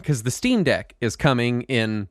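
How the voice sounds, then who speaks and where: A man's voice: medium pitch, very animated, measured pace, no room sound, studio quality. A man hosting a casual podcast, a bit congested from a cold, talking in a rambling way with lots of ums and restarts. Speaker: podcast host.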